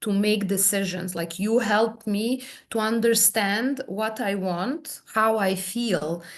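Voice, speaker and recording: A woman, a therapy client describing her experience in halting, searching speech full of restarts. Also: mid-range voice. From Serbia. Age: 30s. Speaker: hypnotherapy client guest. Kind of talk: personal testimony in conversation.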